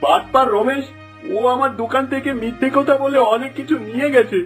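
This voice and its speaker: Extremely high-voiced aggrieved shopkeeper, acted by a man. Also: fairly even intonation, brisk, fairly bright tone. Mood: complaining. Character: aggrieved male village shopkeeper, complaining. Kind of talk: Bengali cartoon dubbing. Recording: music under the voice.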